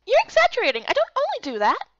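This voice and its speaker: Woman acting excitable, feisty young fantasy heroine. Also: very high-pitched, racing, no echo, muffled recording, pitched above the performer's own voice, full character voice. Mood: indignant. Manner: exclamatory, emotive.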